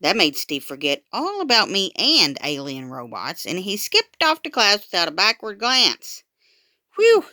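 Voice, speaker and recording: A woman reading a children's book aloud in an expressive, dramatic storytime style. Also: mid-range voice, measured pace, no echo, clean studio sound. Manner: animated, dramatic. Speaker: storytime reader.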